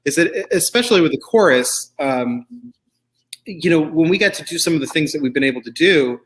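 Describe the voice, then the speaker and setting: A man's voice: on the high side, animated, a little fast, fairly bright tone. A man hosting a casual talk show, speaking in an encouraging, appreciative way as he interviews his guests.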